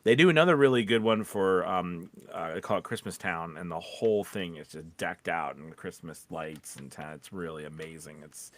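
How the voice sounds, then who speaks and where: A man's voice: low-pitched, moderate pace, few pauses, a bit bright, no echo. A man who is a voice actor co-hosting a podcast, chatting casually and rambling, with playful repetition of words. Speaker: podcast co-host. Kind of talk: casual co-hosted chat.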